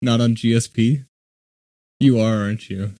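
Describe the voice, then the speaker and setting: A man's voice: medium pitch, fairly even intonation, normal speed, dark tone, dry recording, studio quality. A young man co-hosting a podcast livestream, chatting casually, laughing often and swearing now and then. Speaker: podcast co-host.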